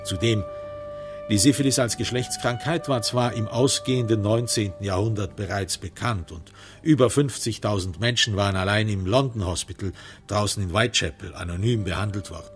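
A man playing the wry, sombre narrator of a crime radio drama, telling it in measured literary prose with dramatic emphasis. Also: on the low side, soft background music, natural voice. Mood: wry, analytical.

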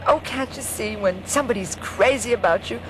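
A woman's voice: mid-range voice, brisk, lightly acted. A woman playing a melodramatic femme fatale in a mock film-noir radio drama. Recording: noisy ambience behind the voice.

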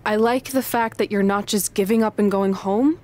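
A woman's voice: medium pitch, moderate pace, a little mellow, close to an everyday voice. A woman voicing a confident female wrestler in a video game, wry and protective toward her friend.

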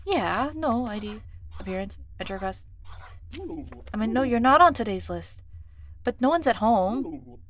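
A woman's voice: fairly high pitch, expressive, unhurried, long pauses. A woman streamer, a native Korean speaker, chatting casually over a game and muttering numbers and checks to herself. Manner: chatty, thinks aloud, reads numbers under her breath. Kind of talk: casual gameplay commentary.

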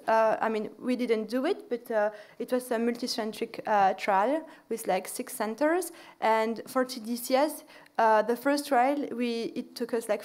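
A woman's voice: fairly high pitch, darkish tone. A woman lecturer answering audience questions at a podium in a large lecture hall, with many fillers and restarts.

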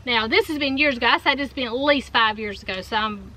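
Southern woman in her forties, bright and high, lively storyteller who keeps saying y'all; outdoor camera-mic sound. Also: fast talker, a little hiss or hum. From North Carolina. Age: 40s. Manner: animated storyteller, addresses viewers as y'all. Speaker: co-host. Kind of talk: casual storytelling chat. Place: outdoors, seated in a shaded golf cart. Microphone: fixed camera in front.

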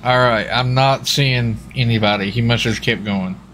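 A man's voice: mid-range voice, steady tone, moderate pace, fairly bright tone. A man rambling in a joking, folksy way during casual in-character gaming banter. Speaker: role-play gamer playing a US marshal. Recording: game voice chat with clear vehicle noise.

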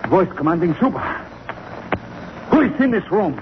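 An actor playing a menacing, suave, mock-courteous villain in a 1940s radio drama. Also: male voice, high voice, sing-song, normal speed. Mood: panicked. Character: menacing, sinister male villain with a murderous caged servant. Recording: noisy, muffled old 1940s radio recording.

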